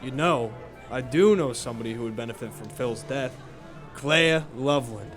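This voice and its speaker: A man's voice: medium pitch, normal speed, faint music behind, close to an everyday voice. A man playing a friendly nightclub bartender in a noir audio drama, folksy and hesitant as he informs. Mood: confiding. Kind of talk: noir radio drama dialogue.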